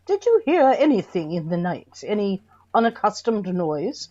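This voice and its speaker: A woman's voice: medium pitch, sing-song, moderate pace, dark tone, highs cut off. A woman playing a formal, authoritative official questioning a witness at an inquest in a radio drama. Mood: probing. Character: formal, probing official presiding over an inquest.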